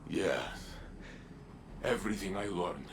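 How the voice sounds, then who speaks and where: An actor playing a weary, solemn veteran warrior in a video game, speaking gravely and deliberately with halting pauses. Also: male voice, deep voice, noisy background, exaggerated voice. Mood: weary determination. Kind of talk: video game cutscene acting.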